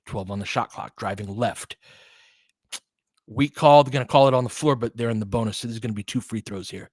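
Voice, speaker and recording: Excitable man calling a basketball game. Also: mid-range voice, no echo. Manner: quick, excitable calls with chatty asides. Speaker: play-by-play commentator. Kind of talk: fan-made basketball play-by-play commentary.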